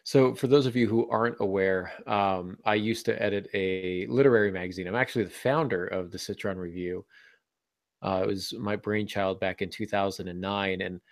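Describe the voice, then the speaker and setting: A man speaks in a low voice with a gravelly, creaky edge, recorded close and dry. He talks casually as a podcast co-host, thinking aloud in reflective, halting phrases. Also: fairly even intonation, dry recording.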